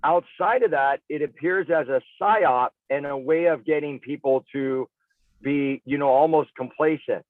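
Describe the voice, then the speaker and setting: A man's voice: on the high side, measured pace, no room sound. A male interview guest talking casually and with firm opinions, emphatic and conversational, over a thin phone-like connection. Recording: dry, tinny phone-like radio sound.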